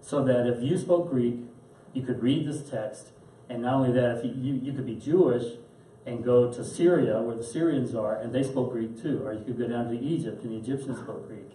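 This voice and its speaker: A man teaching a class and answering questions in a thoughtful, halting way. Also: medium pitch, warm tone. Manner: thoughtful, halting with fillers and restarts. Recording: roomy echo, mic at a distance, faint hiss.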